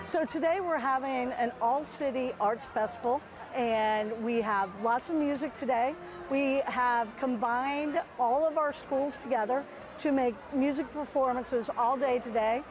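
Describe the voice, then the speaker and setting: A woman music educator explaining an event in a clear, informative way. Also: high-pitched, measured pace.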